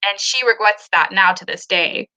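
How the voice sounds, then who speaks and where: A woman's voice: medium pitch, normal speed, bright, forceful tone, rough recording. A woman whose first language is Tamil, co-hosting a casual book club discussion over a video call, thoughtful and full of fillers and restarts. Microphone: laptop or phone mic on a video call.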